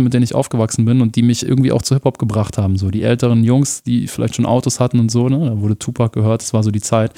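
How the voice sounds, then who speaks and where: A man in his thirties from Munich talks loosely as a guest, rambling and dropping slang with easy laughs. His voice sits at an ordinary pitch with a dark, mellow tone. The recording is dry and close, with no room around it. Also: gravelly, no echo. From Germany (Munich). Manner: relaxed, rambling, laughs often, uses slang. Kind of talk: casual conversational interview.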